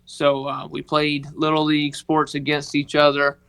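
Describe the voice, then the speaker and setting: A man with a Mississippi accent, telling a personal story on a podcast in a halting, earnest way. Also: a little high, monotone, vocal fry, fairly bright tone, clear, resonant tone. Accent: Southern US, Mississippi. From northeast Mississippi, United States. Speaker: podcast guest, organization member telling his story.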